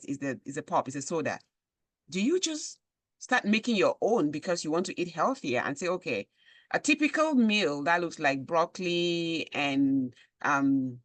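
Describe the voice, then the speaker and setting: A woman host from Cameroon, asking animated questions in an interview. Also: on the low side, no room sound. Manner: animated questioning, frequent backchannels. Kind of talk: interview conversation.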